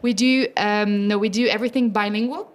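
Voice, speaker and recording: A woman's voice: medium pitch, steady tone, fairly quick. A Swiss French mezzo-soprano, a native French speaker, talking with enthusiasm in an interview about her opera workshops for children, laughing often. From French-speaking Switzerland. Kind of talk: festival interview.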